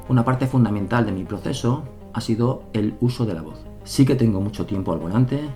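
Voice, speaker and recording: Low-voiced Spanish man narrating a devlog. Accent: Spain Spanish. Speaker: solo game developer. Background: background music.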